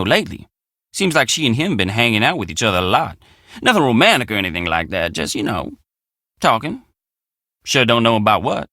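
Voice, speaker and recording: Folksy young handyman, simple country boy, American country drawl, friendly, lively intonation, dry close sound, video game dialogue. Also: mid-range voice, no room sound. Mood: wry, sincere. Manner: folksy, plainspoken country phrasing.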